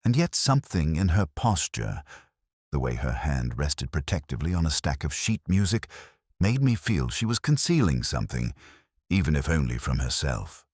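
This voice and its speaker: A man narrating a scripted mystery as a brooding police detective, in a measured, literary and contemplative manner. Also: very deep voice, very animated, darkish tone, husky, dry recording, clean studio sound, exaggerated voice. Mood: brooding, reflective. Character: brooding male police detective recounting a murder investigation.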